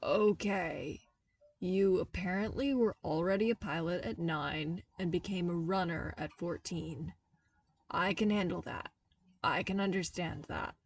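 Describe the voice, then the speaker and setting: Hot-tempered young military man performed by a female reader, incredulous and emphatic, slow stressed words, audiobook drama. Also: fairly low pitch, distant mic. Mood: strained patience. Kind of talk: audiobook character dialogue.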